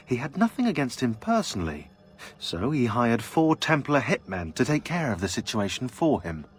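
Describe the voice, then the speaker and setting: An actor playing a wry briefer in a video game, narrating villain profiles in a dry, informative manner. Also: male voice, medium pitch, close to an everyday voice. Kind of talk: scripted character briefing narration.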